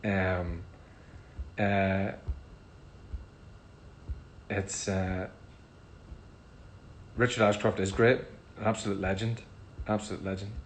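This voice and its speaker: Northern Irish musician, middle-aged man, laid-back fan Q&A, slightly low voice. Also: slow, pauses often, slight background noise. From Northern Ireland. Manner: relaxed, chatty, frequent ums. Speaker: musician answering fan questions. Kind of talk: casual livestream Q&A.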